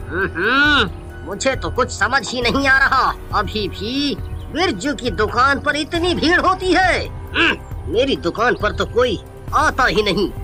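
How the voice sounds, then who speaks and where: Casting: envious, fretting cartoon shopkeeper, male played by a woman, very bright and slow, muttering and scheming. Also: on the high side, exaggerated voice. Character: scheming, envious male sweet-shop owner, given to fretting. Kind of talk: animated moral-story voice acting. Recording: music behind the voice.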